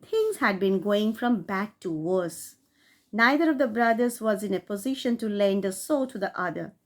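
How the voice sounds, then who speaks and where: A woman reading a classic short story aloud, in a steady storytelling manner that rises for emphasis. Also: medium pitch, moderate pace. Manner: steady storytelling read with rising emphasis on key phrases. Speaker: audiobook reader.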